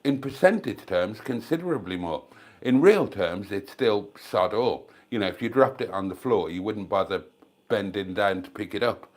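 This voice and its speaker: A man's voice: lowish voice, animated, moderate pace. Chatty older British man with a cold. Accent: British English. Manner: chatty and self-mocking, calls viewers darlings. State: congested with a cold, coughing. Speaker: YouTube livestream host. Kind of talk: casual rambling livestream chat. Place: at home, near the fridge. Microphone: desk microphone.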